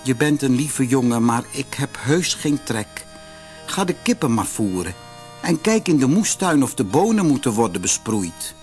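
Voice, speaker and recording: A man voicing a gentle, ailing widowed mother in a storybook, weak, sighing and tender. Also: medium pitch, big pitch swings, moderate pace, faint music behind, lightly acted. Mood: tender, weary. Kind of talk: storybook character voice.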